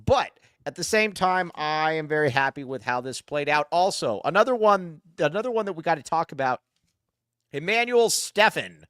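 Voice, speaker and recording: A man co-hosting a sports podcast, joking and animated, often talking over his co-host. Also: fairly high pitch, moderate pace, clean tone, dry recording, studio quality. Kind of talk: casual sports chat.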